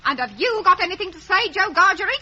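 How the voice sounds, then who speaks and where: A sharp-tongued, bossy housewife in an old radio play. Her voice is high and piercing, swooping as she scolds and nags. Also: animated, fast, heavily acted. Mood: scolding. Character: bad-tempered, scolding, domineering adult sister, blacksmith's wife. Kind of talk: 1950s radio drama acting.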